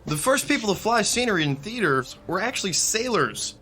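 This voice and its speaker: An easygoing young theater techie in a radio drama. His voice is high, bright and lively in its pitch. Faint music sits under him. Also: brisk, full character voice. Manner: easygoing, explanatory. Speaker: stagehand.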